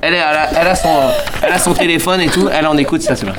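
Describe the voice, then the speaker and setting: A fast-talking musician in his forties from the Paris suburbs chats in a radio interview. His talk is slangy and rambling, full of fillers and restarts. A faint hum of room ambience runs behind him. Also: fairly high pitch, fairly even intonation. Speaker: band member, musician guest. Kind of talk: casual radio interview chat.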